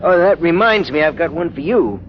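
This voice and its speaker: An actor playing a brisk, authoritative space patrol major in a 1950s radio drama. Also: male voice, a little high, animated, lightly acted. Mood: official, wry. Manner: authoritative, clipped, dryly amused. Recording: muffled, noisy old radio broadcast.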